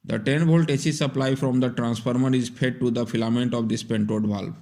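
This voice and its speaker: A man's voice: medium pitch, steady tone, brisk, a little mellow. A man narrating a scripted technical tutorial in a methodical, step-by-step instructional manner. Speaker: repair tutorial presenter.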